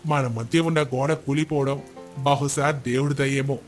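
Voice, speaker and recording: A man's voice: mid-range voice, fairly even intonation, a little slow, soft background music, lightly acted. A man playing a remorseful, humbled elderly village husband in a moral story, apologetic and earnest. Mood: ashamed. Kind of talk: moral storybook character dialogue.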